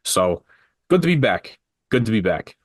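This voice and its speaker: A man with a fairly low voice chats casually as co-host of a basketball podcast. He rambles and restarts his sentences as he goes. The sound is dry, with no room echo. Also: sing-song, moderate pace. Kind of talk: casual sports talk.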